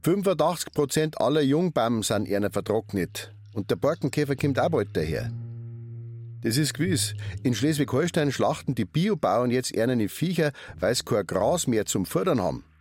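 A man's voice: mid-range voice, big pitch swings, moderate pace, darkish tone, slightly rough. An actor playing a talkative middle-aged hairdresser, a fisherman's son, with a Bavarian accent in a radio drama. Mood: chattering, eager. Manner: talkative, colloquial narrating. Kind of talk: radio drama monologue and dialogue.

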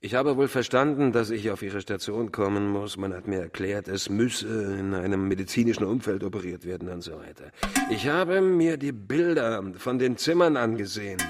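An actor playing a dying tech tycoon in a radio drama monologue, imperious, fastidious and scornful. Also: male voice, fairly low pitch, soft background music, lightly acted. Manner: demanding, disgusted, theatrical emphasis.

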